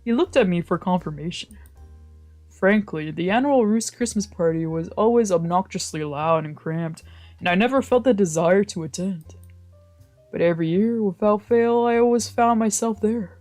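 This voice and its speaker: A woman's voice: mid-range voice, moderate pace, darkish tone, no room sound, faint music behind. A woman reading scripted first-person visual novel narration aloud.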